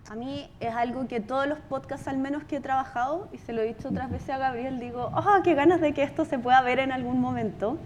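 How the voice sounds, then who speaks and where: Higher-voiced woman, tentative, faint noise. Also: fairly quick, few pauses. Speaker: podcast maker on a panel. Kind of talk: informal panel answer.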